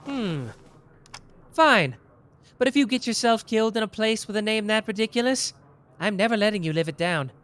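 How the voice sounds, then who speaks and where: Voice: medium pitch, lively intonation, normal speed, long pauses, fairly bright tone, slight background noise, lightly acted. A performer voicing a smug, boastful young male detective in an ASMR roleplay, teasing and playful.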